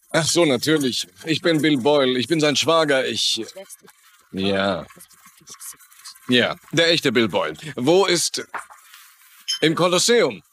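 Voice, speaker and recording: A man's voice: fairly high pitch, fairly bright tone, a little hiss or hum. An actor playing a wry, grumbling, image-conscious man in a dubbed crime drama. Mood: brisk. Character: wisecracking, image-conscious man saddled with a dog.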